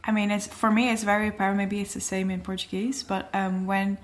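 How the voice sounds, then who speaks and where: A woman's voice: medium pitch, flat delivery, brisk. A young adult woman with a Dutch accent, chatting casually and laughing often, with many fillers. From Netherlands. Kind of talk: casual chat.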